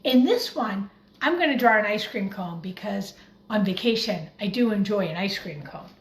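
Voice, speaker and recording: A woman teacher gently and encouragingly talking young children through a craft in simple words as she demonstrates it. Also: medium pitch, animated, moderate pace. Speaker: primary school teacher leading a craft. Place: home kitchen, by the refrigerator. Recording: echoey room with faint background noise.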